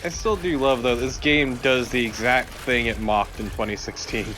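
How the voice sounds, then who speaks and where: A man's voice: medium pitch, steady tone, normal speed, pushed and bright. Male co-commentator, mid-range voice, wry and argumentative, casual gaming banter, background noise. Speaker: let's play co-commentator.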